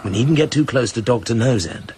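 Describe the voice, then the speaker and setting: An actor playing a cool, suave British secret agent in a radio drama, understated and brooding. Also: male voice, medium pitch, expressive, fairly quick, darkish tone, a little hiss or hum, natural voice. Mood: cool, serious.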